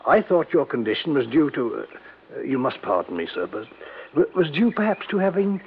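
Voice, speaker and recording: An actor playing a deferential, anxious household butler in a 1950s radio drama, formal, hesitant and apologetic. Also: male voice, on the high side, sing-song, moderate pace. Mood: apologetic, hushed. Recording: muffled old radio recording, faint hiss.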